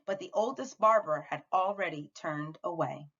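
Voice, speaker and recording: A woman reading a picture book aloud to children in an animated, expressive way, as a teacher does. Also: fairly low pitch, moderate pace, husky, dull audio. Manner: animated, acts out the dialogue.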